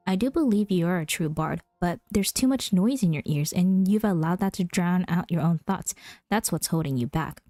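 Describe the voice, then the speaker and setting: A woman's voice: lowish voice, animated, normal speed, warm tone, no echo, studio quality, faint music behind, natural voice. A woman voicing a breezy, encouraging young male bard in a video game, easygoing and playful.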